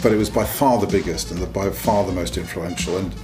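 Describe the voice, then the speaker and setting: A man's voice: deep voice, a little fast, music in the background. Matter-of-fact record label man. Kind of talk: documentary interview.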